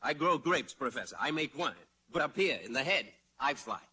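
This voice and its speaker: An actor playing a proud, dreamy winemaker in a 1940s radio drama. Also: male voice, on the high side, lively intonation, normal speed, sharp tone, husky, exaggerated voice, rough recording. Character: proud, dreamy male grape grower and winemaker.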